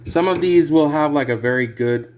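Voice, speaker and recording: A man's voice: on the high side, normal speed. A man giving a casual, unscripted music gear demo in a rambling, hesitant, sweary way. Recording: clear background noise.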